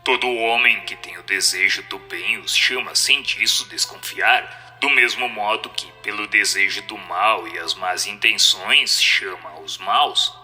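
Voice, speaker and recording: A man voicing a solemn, assured spirit who answers in a measured, didactic way in an audio drama reading. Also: on the low side, pushed and bright, soft background music, pitched below the performer's own voice.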